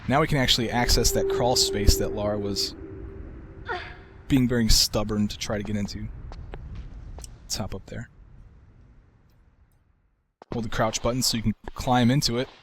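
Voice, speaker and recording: A man's voice: fairly low pitch, normal speed, long pauses, slight background noise. Laid-back man narrating a game walkthrough. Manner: relaxed, soft-spoken, self-described soothing voice. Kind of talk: casual gameplay commentary.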